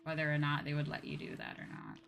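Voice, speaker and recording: A woman livestreamer chatting casually while she plays, sometimes musing aloud in a drawn-out, sing-song way. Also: low-pitched, wildly swooping pitch, gravelly, a bit bright. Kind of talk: casual game-stream chat. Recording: music behind. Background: game music.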